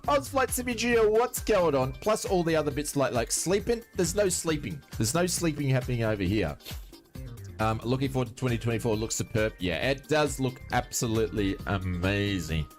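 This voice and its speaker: A man's voice: medium pitch, animated, normal speed, soft background music. An Australian man sounding nasal from hay fever, chatting casually and rambling through a flight sim livestream, answering chat as he goes.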